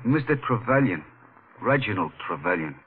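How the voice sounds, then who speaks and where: An actor playing a jumpy, frightened American tenement janitor in a 1940s radio drama, hesitant and plain-spoken. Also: male voice, lowish voice, very animated, normal speed, husky, full character voice. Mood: wary, gossipy. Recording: muffled, tinny 1940s radio recording.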